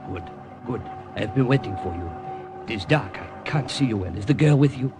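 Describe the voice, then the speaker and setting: A man's voice: mid-range voice, expressive, brisk, music in the background, natural voice. A man acting a cautious, welcoming French underground contact in an old-time radio drama. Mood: guarded.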